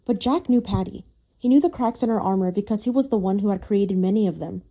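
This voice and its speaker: A woman's voice: medium pitch, quick pace, mellow. A woman reading a scripted, dramatic story as its narrator.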